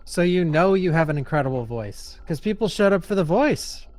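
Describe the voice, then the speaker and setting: A man's voice: fairly high pitch, expressive, darkish tone. A man hosting a game stream, teasing his co-player in casual, joking voice-chat banter. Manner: teasing, playful, quick to joke. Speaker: streamer host.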